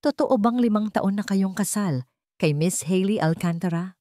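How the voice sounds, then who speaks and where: A woman voicing a confident male defense attorney who speaks formally and deliberately, with pointed, accusatory questions. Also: mid-range voice, dark tone, no room sound, studio quality, close to an everyday voice. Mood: pointed, probing.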